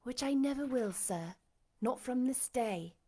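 A woman voicing a tender, devoted young woman in an audiobook, soft-spoken, respectful and reassuring. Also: high voice, expressive, unhurried, full character voice. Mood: tender, joyful. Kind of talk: audiobook character acting.

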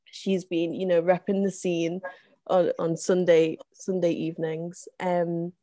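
A woman, a guest on a casual podcast, talking with many ums and likes and getting animated in bursts. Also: lowish voice, a little slow, no echo. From North West England. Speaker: interview guest, zine co-founder.

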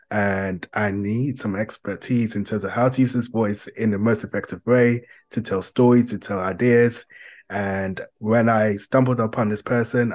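A man with a fairly low voice hosts a podcast interview. He frames his questions loosely, circling and restarting with friendly fillers before he lands on his point. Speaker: podcast host.

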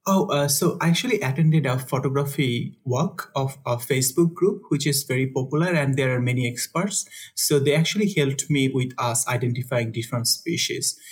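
Young man from Bangladesh, husky voice, soft-spoken, chuckling, casual interview guest. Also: mid-range voice, moderate pace, few pauses. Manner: soft-spoken, laughs often, frequent fillers. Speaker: guest, conservation researcher.

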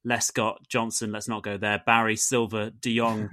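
A man's voice: on the low side, normal speed. A man hosting a casual football podcast, listing players and steering the discussion to the next guest. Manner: relaxed, steers the conversation and hands out turns. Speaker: podcast host.

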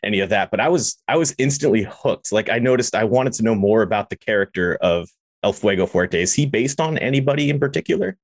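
A man's voice: lowish voice, lively intonation, fast, no echo, clean studio sound. A man hosting a podcast in a casual interview style, chatty and enthusiastic. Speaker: podcast host.

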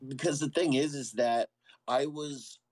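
A man's voice: mid-range voice, steady tone, darkish tone, dry recording. Candid male guest, dry close sound. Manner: candid, earnest, halting with restarts. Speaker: guest, former Marine. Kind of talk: personal storytelling in an interview.

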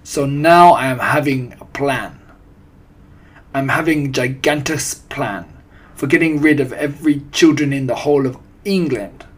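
Man as evil head witch, husky, imperious, thundering, ranting, broken English, storybook villain. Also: mid-range voice, unhurried. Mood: scheming, forceful. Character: tyrannical, ranting female head witch with foreign grammar. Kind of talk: audiobook character voice.

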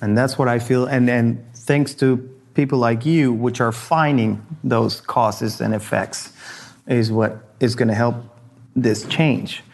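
A man's voice: medium pitch, steady tone, a little slow, vocal fry, darkish tone. Man from argentina hosting a chat, agreeable, lots of restarts. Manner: chatty, agreeable, many fillers and restarts. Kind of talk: casual interview chat.

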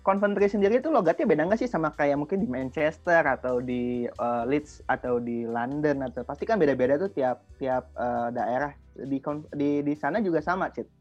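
A man's voice: a little high, normal speed. A young man with a colloquial Jakarta Indonesian accent, asking slangy, informal questions as the host of a casual interview. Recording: dull lo-fi audio with music. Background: music.

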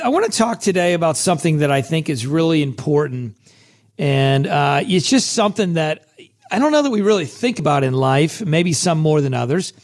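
A man hosting a motivational podcast, talking in a casual, chatty way with a hoarse, scratchy voice. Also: on the high side, darkish tone. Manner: casual and chatty, with many restarts. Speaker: podcast host.

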